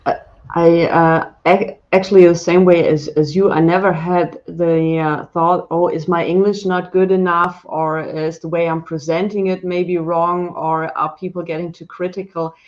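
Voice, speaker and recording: A woman's voice: fairly low pitch, little pitch movement, normal speed, darkish tone, lo-fi sound. A woman in her forties speaking as a panel guest on a video call, direct and self-assured. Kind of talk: casual panel chat.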